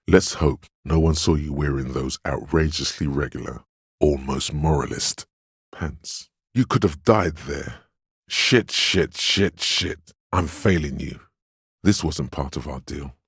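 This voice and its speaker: An actor voicing a stern, steadfast inner voice of willpower in a video game, measured and dryly sardonic as he admonishes. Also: a bass voice, husky, no room sound, clean studio sound, exaggerated voice. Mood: dismayed. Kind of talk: scripted second-person game narration, inner voice.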